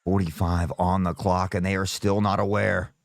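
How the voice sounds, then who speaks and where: A male esports caster with a slightly sore throat, calling play-by-play and erupting into loud shouts on big plays. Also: low voice, level tone, moderate pace, creaky, no room sound.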